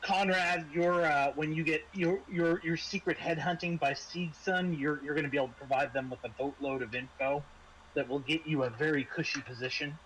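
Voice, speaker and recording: Halting higher-pitched man as game master. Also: fairly even intonation, fairly bright tone, slight background noise, low-quality recording. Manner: halting, trailing repetitions. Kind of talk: wrapping up a tabletop game in casual talk.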